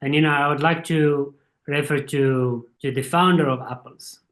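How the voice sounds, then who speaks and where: A man's voice: fairly high pitch, steady tone, normal speed, a bit bright. A man, a guest explaining his views at length in a casual interview. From Morocco. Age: 40s. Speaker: guest, supply chain executive.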